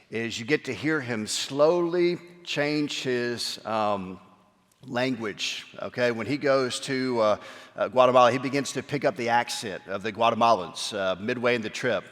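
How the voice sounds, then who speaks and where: A man delivers a Sunday sermon in an easy, conversational way, stumbling over restarts and "um"s as he works through his points. His voice sits at an ordinary middle pitch. The sound carries the long tail of a church hall. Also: a bit bright. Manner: conversational, frequent fillers and restarts. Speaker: pastor. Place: church sanctuary.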